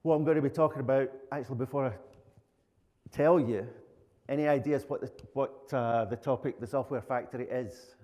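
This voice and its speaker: A man's voice: mid-range voice, a little fast, a little mellow. A middle-aged man with a Glaswegian Scottish accent, giving an informal, plain-spoken conference presentation. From Glasgow, Scotland. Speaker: conference speaker, lead software architect. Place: conference room, indoors. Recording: echoey hall with long reverb.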